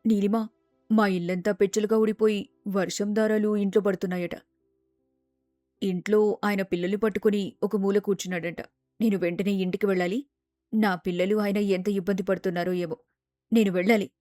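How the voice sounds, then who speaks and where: A woman's voice: medium pitch, little pitch movement, a little fast, long pauses, mellow, no room sound, clean studio sound, faint music behind, voice pushed down, natural voice. A woman voicing an anxious, determined mother in a storybook tale, speaking urgently and stubbornly. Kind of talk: storybook character dialogue.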